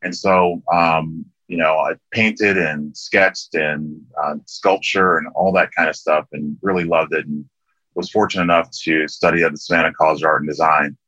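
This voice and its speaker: A deep-voiced middle-aged man from Liberia talks as a guest in a relaxed interview, full of ums and restarts. His tone is bright and forceful, and the recording sounds dull and lo-fi with a dry, close feel. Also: normal speed, no echo. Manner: relaxed, many ums and restarts, laughs easily. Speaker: interview guest, landscape architect. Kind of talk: casual interview conversation.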